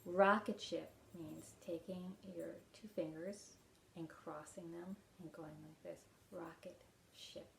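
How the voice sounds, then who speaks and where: A woman leads a children's storytime with a gentle manner. Her voice sits on the low side, and she takes her words very slowly, keeping explanations simple for young listeners. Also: steady tone, gravelly, a little hiss or hum. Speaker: children's library storytime reader. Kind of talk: storytime read-aloud and talk to children.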